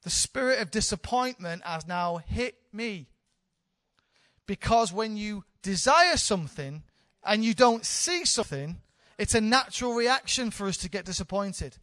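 A woman's voice: mid-range voice, animated, measured pace, a bit bright, no room sound. A woman in her thirties, a church leader, giving an informal, candid sermon with self-deprecating humour. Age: 30s.

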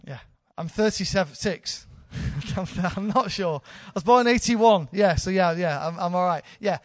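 A woman's voice: lowish voice, moderate pace, no room sound, clean studio sound. A woman in her thirties, a church leader, giving an informal, candid sermon with self-deprecating humour. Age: 30s.

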